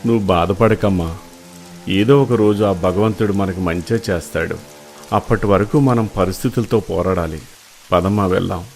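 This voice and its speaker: A man playing a poor, devoted young village son in an animated moral story, earnest and pleading. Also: deep voice, moderate pace, faint music behind. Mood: consoling.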